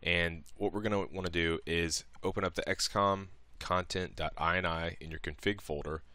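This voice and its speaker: A man with an extremely low, bright voice walks through software steps as a tutorial presenter. He explains things informally, one step at a time, in a casual narration. The sound is clean and dry, close to the listener. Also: fairly even intonation, moderate pace, no echo.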